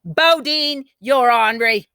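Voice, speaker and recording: Woman playing a feisty, bright-voiced country girl. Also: fairly high pitch, slow pace, creaky, smooth, no echo, studio quality, full character voice. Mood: indignant. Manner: folksy, indignant, dramatic. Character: feisty, hot-tempered country girl who vows revenge. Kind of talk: children's storybook character voice.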